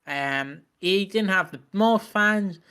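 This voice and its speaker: A man's voice: sits high, slow, pushed and bright, clean tone. A man co-hosting a casual podcast about a TV soap, joking around and repeating playful catchphrases. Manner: jokey, chants playful catchphrases. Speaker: podcast co-host.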